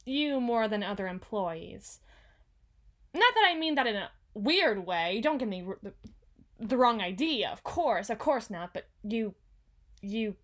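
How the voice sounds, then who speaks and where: Woman acting a slow, flustered young tsundere boss. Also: medium pitch, big pitch swings. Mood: awkward, earnest. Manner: flustered, halting, stammering, self-correcting. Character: frazzled young female CEO, tsundere, proud yet flustered. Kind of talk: ASMR roleplay monologue.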